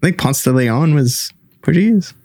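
A man's voice: on the high side, animated, moderate pace, a little mellow, slightly rough, no echo, clean studio sound. A man co-hosting a podcast, chatting casually and hedging a lot, often starting over with "I don't know". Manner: hesitant, hedging, full of restarts. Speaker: podcast co-host. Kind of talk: casual chat.

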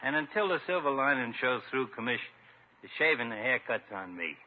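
An actor playing a cocky, folksy small-town barber with slangy, wisecracking talk, in 1950s radio comedy style. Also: male voice, medium pitch, sing-song, brisk. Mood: friendly. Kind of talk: 1950s radio sitcom acting. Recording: muffled narrow-band 1950s radio recording.

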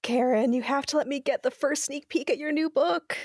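A woman playing a sharp-tongued, domineering mother in a horror-comedy audio drama. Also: high-pitched, a little fast, no echo, studio quality. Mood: sociable.

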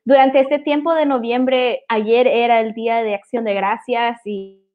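A tinny, phone-like recording of a woman with a fairly high voice. She hosts a casual, chatty interview and slips English words into her Spanish. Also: fairly even intonation, measured pace. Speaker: host interviewing a guest. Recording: tinny, phone-like call audio.

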